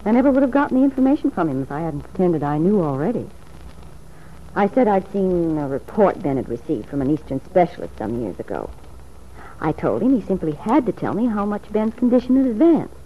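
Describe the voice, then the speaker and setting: A woman's voice: medium pitch, sing-song, fairly quick. An actress playing a troubled, wavering wife who plots with her lover in a radio drama, earnest and hesitant. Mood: confiding. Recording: tinny, noisy old radio recording.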